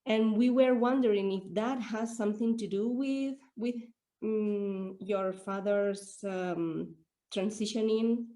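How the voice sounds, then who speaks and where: A woman's voice: mid-range voice, takes it slowly, warm tone. A woman whose first language is not English, asking questions at an online Q&A, hesitant and searching for her words. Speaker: audience member asking questions.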